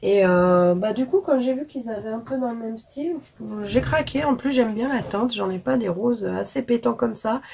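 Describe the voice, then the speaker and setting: A woman vlogger, hoarse from being sick, chatting frankly and casually about cheap gadgets and makeup to her viewers with many fillers. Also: a little high, moderate pace, hardly any pauses, a little mellow, a little hiss or hum. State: hoarse from illness. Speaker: beauty vlogger. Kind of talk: casual haul chat to camera.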